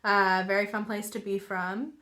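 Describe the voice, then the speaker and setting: A woman's voice: medium pitch, flat delivery, moderate pace, pushed and bright. A young woman from Washington, D.C. with an American accent, chatting as a podcast guest in an easygoing way and laughing along. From Washington, D.C., United States. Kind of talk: casual chat.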